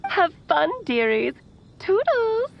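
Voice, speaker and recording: A woman's voice: very high voice, sing-song, takes it slowly, gravelly, a little hiss or hum, heavily acted. A woman voicing a whimsical, playful, motherly mage in a video game, speaking theatrically. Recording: slightly muffled in-game recorded message.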